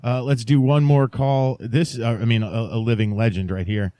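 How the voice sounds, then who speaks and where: Male podcast host, medium pitch, dry close sound, rambling, halting, tongue-in-cheek. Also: fairly even intonation, warm tone, no echo. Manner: halting, mock-sincere joking. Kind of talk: casual rambling podcast chat.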